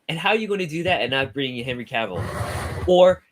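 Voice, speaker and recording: A man's voice: fairly high pitch, animated, fairly quick, noisy background. A man in casual film discussion, speaking bluntly and with strong opinions as a show panelist. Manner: blunt, opinionated, some swearing.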